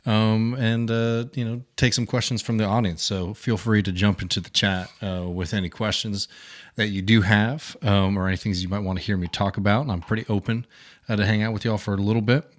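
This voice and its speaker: A man hosting a casual live stream, slightly hoarse, talking in a relaxed and friendly way with many fillers. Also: lowish voice, a little fast. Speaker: livestream host.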